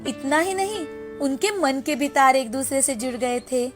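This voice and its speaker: A woman's voice: sits high, animated, moderate pace, faint music behind. A woman storyteller reading scripted moral tales as a narrator.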